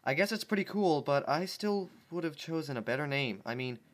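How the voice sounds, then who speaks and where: A man's voice: on the high side, animated, fairly quick, lightly acted. A man reading the lines of a brash, sarcastic female pegasus pony, close to his own voice. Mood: puzzled. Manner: sarcastic, blunt. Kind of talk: reading a fan story aloud.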